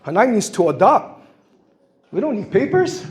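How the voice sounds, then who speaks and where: High-voiced older man telling stories. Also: sing-song, moderate pace. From Guam. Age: 60s. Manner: storytelling, acts out quoted voices. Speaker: public forum speaker. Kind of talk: impassioned public comment. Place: public meeting hall.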